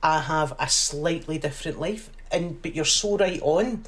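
A woman's voice: low voice, a little slow. A woman in her forties with a Glasgow accent, a guest telling stories in a casual interview and acting out other people's voices. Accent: Glaswegian. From Scotland. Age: 40s. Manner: animated storyteller who acts out other voices.

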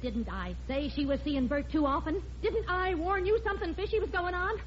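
An actress playing an exasperated, sharp-tongued wife and protective mother in an old radio comedy, scolding with sarcasm. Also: very high-pitched, fairly quick. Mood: indignant, sarcastic. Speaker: actor. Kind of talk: 1940s-50s radio situation comedy acting. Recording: muffled, slightly noisy vintage radio recording.

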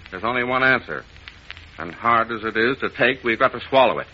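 An actor playing a gruff, stubborn, exasperated police inspector in 1940s radio style, blunt and hectoring. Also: male voice, mid-range voice, expressive, fairly quick, a little hiss or hum, natural voice. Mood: blunt, sarcastic. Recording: muffled old radio drama recording.

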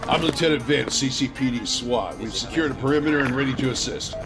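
A man acts as a police SWAT lieutenant in an audio drama. He is easygoing and businesslike, rattling along quickly in a medium pitch. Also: music in the background, lightly acted. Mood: friendly, direct.

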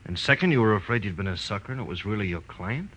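A man's voice: on the low side, lively intonation, fast talker, fairly bright tone. A man playing a shrewd, teasing police lieutenant in a 1940s radio drama, with a folksy, needling manner. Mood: probing. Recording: noisy old radio recording.

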